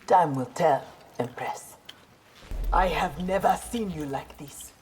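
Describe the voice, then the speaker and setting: A woman's voice: sits low, takes it slowly, a little mellow, slight background noise, rough recording. An actress playing a proud, principled young ninja warrior woman in a fighting game, with a firm, clipped, earnest delivery. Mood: firm, respectful. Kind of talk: dramatic fighting game dialogue.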